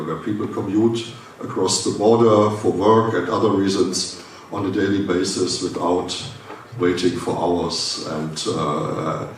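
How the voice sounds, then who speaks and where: A German-accented man delivers a conference welcome in a low voice, hesitating and restarting with frequent fillers. He stands away from the mic in a big echoing hall, with a faint hiss underneath. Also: distant mic. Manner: halting, many "uh" fillers and restarts. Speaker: foundation director giving a welcome speech. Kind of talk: formal welcome speech at a conference.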